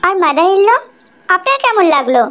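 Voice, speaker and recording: A woman's voice: very high voice, very animated, moderate pace, fairly bright tone, full character voice. A woman voicing an eager, boastful young daughter-in-law in cartoon dialogue.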